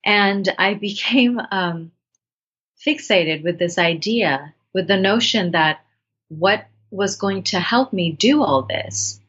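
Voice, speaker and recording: Earnest low-voiced woman in her thirties. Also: fairly bright tone, husky. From Bangladesh. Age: 30s. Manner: thoughtful, earnest, with frequent restarts. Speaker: guest, writer and actress. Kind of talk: reflective interview talk. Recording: dull lo-fi sound.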